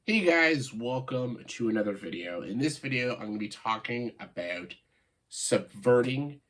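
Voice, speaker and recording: A man with a stuffed-up, nasal voice from a cold, giving casual, opinionated video commentary in a halting way, stressing key words and often saying "like". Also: medium pitch, expressive, fairly bright tone. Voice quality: congested, nasal voice. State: congested, stuffed-up nose after a cold. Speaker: video host, film commentator.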